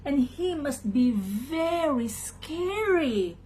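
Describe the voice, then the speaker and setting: A woman's voice: extremely high pitch, takes it slowly, fairly bright tone, slight background noise, voice pushed up, heavily acted. A woman voicing a frightened, fretful sheep in a children's storybook with anxious, bleating cries. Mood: fearful.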